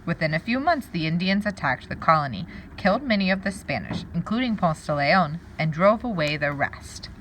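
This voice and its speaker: A woman with a slightly low voice reads a lesson aloud as a teacher. Her intonation swings widely as she wonders aloud and explains words for pupils. The recording carries some faint background noise. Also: expressive, moderate pace, few pauses. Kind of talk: read-aloud lesson with think-aloud comments.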